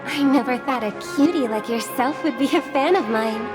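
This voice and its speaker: A woman voicing a gentle, gracious young woman singer in a video game, speaking in a soft, courteous way. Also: high voice, normal speed, natural voice. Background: game music.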